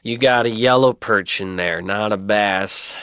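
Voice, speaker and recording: An actor playing a folksy, easygoing small-town printer who loves fishing, chatty in a video game. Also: male voice, on the low side, measured pace, fairly bright tone, clean tone, dry recording. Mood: amused, correcting. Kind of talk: video game character acting.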